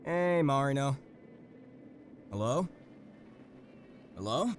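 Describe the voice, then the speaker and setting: A man's voice: medium pitch, lively intonation, takes it slowly. A man playing an impatient police detective in an audio drama, talking conversationally and questioningly. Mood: checking in. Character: impatient male police detective reporting in from the road. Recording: faint vehicle and ambient noise.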